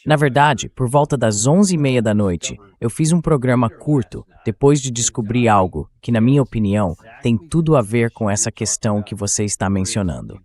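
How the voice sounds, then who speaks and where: A man speaks as the host of a political commentary show, in a translated voice-over. His pitch sits in the middle range for a male voice, and he sets out his points as spoken commentary. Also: expressive, moderate pace, few pauses, a little mellow.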